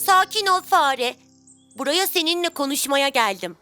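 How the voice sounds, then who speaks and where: A woman voicing a helpful, child-like main character in a children's cartoon, speaking clearly and reassuringly. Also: high-pitched, lively intonation, moderate pace, bright, forceful tone. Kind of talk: animated children's cartoon dialogue. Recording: music bed behind the voice.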